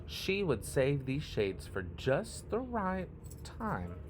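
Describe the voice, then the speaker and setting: A man reads a children's picture book aloud with an expressive, animated delivery. He takes his time, leaving deliberate pauses between short phrases. His mid-pitched voice moves up and down freely, over faint background noise. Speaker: read-aloud storyteller.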